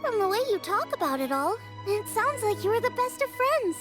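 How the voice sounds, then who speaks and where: A woman voicing a tiny, childlike fairy companion in a video game, squeaky, perky and inquisitive. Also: big pitch swings, normal speed, over music. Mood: curious. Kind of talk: video game character acting.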